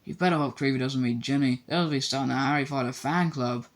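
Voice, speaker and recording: A man's voice: medium pitch, fast, lightly acted. A man reading an audiobook, voicing a blunt, hot-tempered schoolboy friend. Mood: teasing. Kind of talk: audiobook character voice.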